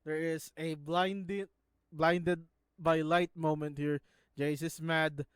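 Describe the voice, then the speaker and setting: A man's voice: on the high side, little pitch movement, slow pace, clear, resonant tone, no echo, studio quality. A man from the Philippines with a Filipino accent, talking casually in a video as he analyzes scenes, often stopping to restart words. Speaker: YouTuber analyzing a show.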